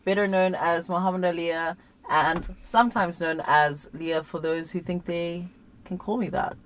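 A woman's voice: on the low side, fairly even intonation, unhurried. A woman hosting a casual podcast chat, playful and laughing often. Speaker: podcast host.

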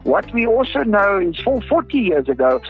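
A man's voice: sits high, very animated, moderate pace. A man from South Africa with an Afrikaans accent, a native Afrikaans speaker, explaining things earnestly as an expert guest in an interview. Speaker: groundwater researcher, interview guest. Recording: dull lo-fi audio with music behind. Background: music.

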